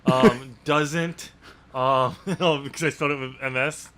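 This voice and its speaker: A man's voice: medium pitch, steady tone, measured pace, a bit bright. A man co-hosting a podcast as an author, discussing a book casually in a rambling way. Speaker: podcast co-host and novel author.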